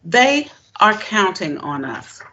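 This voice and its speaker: A woman giving a formal prepared keynote speech as a presenter, in deliberate, emphatic, measured phrases. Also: medium pitch, sing-song, bright, forceful tone, lo-fi sound. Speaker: presenter, education keynote speaker.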